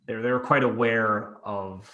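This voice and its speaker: Man, podcast host, interviewer, lowish voice, tentative, hesitant, fillers. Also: steady tone, takes it slowly, dull audio.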